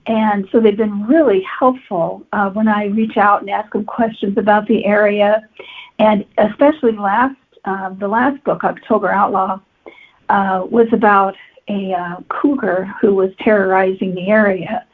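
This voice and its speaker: A woman's voice: mid-range voice, fairly even intonation, unhurried. A woman novelist being interviewed on a podcast, explaining her work in a conversational, matter-of-fact way. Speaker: guest novelist. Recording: tinny phone-like line.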